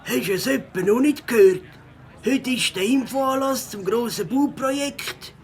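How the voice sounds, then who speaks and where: A woman's voice: fairly high pitch, a little slow. A woman playing a chatty, know-it-all villager in a children's audio drama, exclaiming incredulously as if talking down to children. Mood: incredulous, informing.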